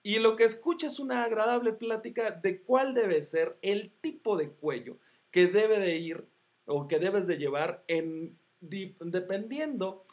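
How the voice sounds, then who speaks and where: Podcast host, Mexican man, somewhat high-pitched, rambling and full of fillers. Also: darkish tone. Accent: Mexican Spanish. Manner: rambling with many fillers and self-interruptions. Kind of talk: casual tech podcast chat.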